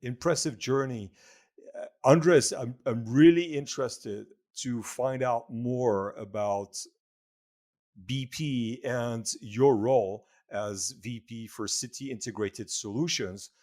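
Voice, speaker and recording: A man hosting a podcast, reading a scripted introduction and asking questions in a formal, deliberate way. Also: mid-range voice, darkish tone. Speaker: podcast host.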